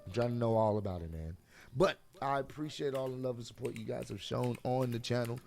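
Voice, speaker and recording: A man reviews a wrestling show in a loose, chatty way, calling out to his viewers. His voice is hoarse and a bit sore. He speaks at an ordinary middle pitch. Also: brisk, darkish tone, faint music behind. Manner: chatty, addresses viewers directly. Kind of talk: casual video review.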